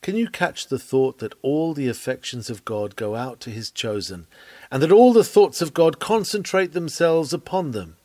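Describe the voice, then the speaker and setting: A man reading an old sermon aloud in an oratorical preaching style, solemn, measured and emphatic. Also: fairly high pitch, lively intonation, warm tone, no echo. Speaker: sermon reader.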